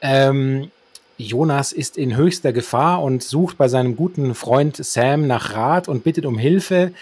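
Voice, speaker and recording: A man's voice: medium pitch, a little slow, a little mellow, slightly rough, no echo. A man co-hosting a podcast, talking in a playfully formal, wordy way as he discusses a radio drama. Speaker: podcast co-host. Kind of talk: semi-scripted radio drama discussion with free commentary.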